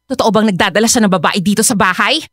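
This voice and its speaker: An actress playing a wronged breadwinner and older sister in a Filipino radio drama, confrontational and emphatic. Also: female voice, medium pitch, quick pace, a bit bright, dry recording, studio quality, close to an everyday voice. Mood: suspicious, demanding.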